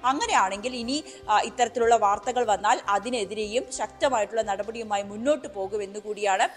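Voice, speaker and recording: A woman's voice: medium pitch, fairly quick. A young woman with a Kerala accent giving a live news report, hesitating and repeating phrases. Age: young adult. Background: news music bed.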